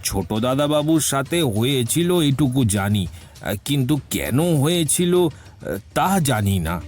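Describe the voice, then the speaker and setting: A man's voice: mid-range voice, very animated, a little slow, a little mellow, soft background music. A man playing an anxious, deferential older household servant in an audio detective drama, pleading and stammering. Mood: hesitant, uneasy. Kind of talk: audio detective story acting.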